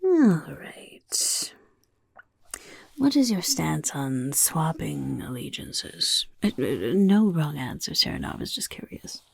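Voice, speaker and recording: A woman voicing a scheming male goblin who interviews recruits for a chaos horde, in a whispery soft-spoken ASMR roleplay, talking of himself in the third person. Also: sits low, sing-song, slow, dry recording, heavily acted. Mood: curious. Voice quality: gravelly.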